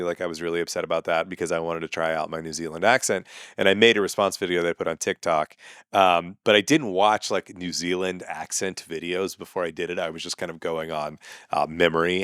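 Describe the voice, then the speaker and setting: A man's voice: sits low, a bit bright, clean tone, no room sound, studio quality. A man, a comedian and podcast host ranting sarcastically and with exasperation in a casual monologue.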